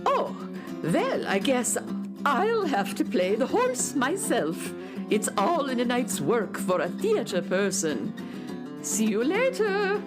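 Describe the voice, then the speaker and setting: A woman's voice: on the high side, huge pitch swings, slow, over loud music, heavily acted. A woman playing a grand, self-important theatrical stage director in a children's radio play. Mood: resigned.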